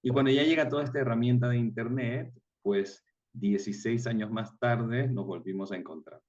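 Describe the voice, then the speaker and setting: A man's voice: medium pitch, moderate pace, darkish tone. A middle-aged man from Ecuador with an Ecuadorian accent, explaining things through examples in a casual interview as the guest. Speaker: interview guest, tour guide. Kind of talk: casual interview, personal anecdotes. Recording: distant mic, slightly muffled sound.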